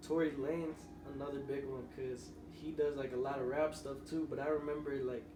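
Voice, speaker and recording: Young guy musician just rambling on a podcast, sounds far off the mic, noisy echoey room. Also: mid-range voice. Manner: loose, rambling storytelling. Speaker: guest musician. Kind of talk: casual podcast interview. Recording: roomy, distant mic with noise.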